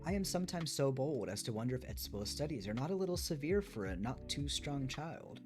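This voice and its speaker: Gentle, formal samurai mother for a storybook, read by a man, pitched up, music underneath. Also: big pitch swings, fairly quick, natural voice. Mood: gently concerned. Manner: polite, formal. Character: deferential Japanese samurai wife, gentle concerned mother. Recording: music behind the voice.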